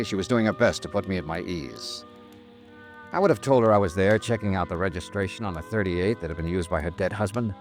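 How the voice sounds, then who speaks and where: A man acting a cool, terse insurance investigator in a 1950s American radio drama, narrating in a clipped, deadpan way. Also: lowish voice, natural voice. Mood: reflective. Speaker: lead actor and narrator. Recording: old radio recording with music.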